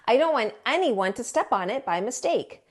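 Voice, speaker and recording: An earnest young storybook bear, voiced by a woman reader, protective and eager to help. The voice is fairly high for a bear, with an animated rise and fall. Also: normal speed.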